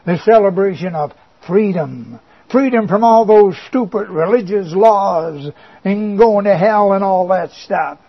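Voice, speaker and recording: A sly, boastful devil coaxes and mocks in a high, reedy voice, as a man acts him out. He wheedles, sneers and chuckles at his own jokes. The recording sounds dull and lo-fi. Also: measured pace, slightly rough. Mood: coaxing. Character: sly boastful male devil, mocking and coaxing. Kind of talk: comic monologue performance.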